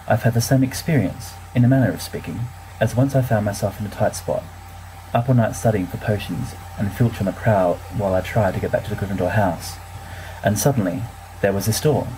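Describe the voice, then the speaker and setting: A man plays a bookish teenage schoolboy with a very mellow, soft-edged voice. He reads his letters with dry, wordy, mock-solemn wit. It is an amateur story recording with faint noise behind him. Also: lowish voice, lightly acted, poor audio. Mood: musing. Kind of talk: reading letters aloud in character, audiobook-like fan recording.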